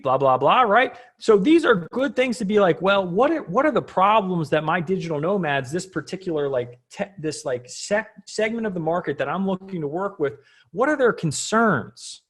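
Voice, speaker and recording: A man with a high-pitched voice walks through an on-screen tutorial in a casual, unscripted way. He talks like a coach, conversational and often checking in with short tag questions. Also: a bit bright. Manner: conversational, frequently checking in with "right?". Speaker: online business coach presenting a tutorial.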